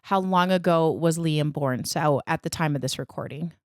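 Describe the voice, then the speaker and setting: A woman's voice: low-pitched, moderate pace, dry recording, studio quality. A woman hosting a podcast interview, chatty and encouraging, with fillers and restarts. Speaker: podcast host.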